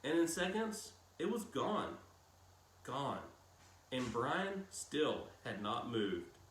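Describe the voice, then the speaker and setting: A man reading a novel aloud as a narrator, with measured phrasing and dramatic pauses and emphasis. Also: mid-range voice, very animated, fairly bright tone. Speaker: audiobook-style reader. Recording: roomy echo with clear background noise.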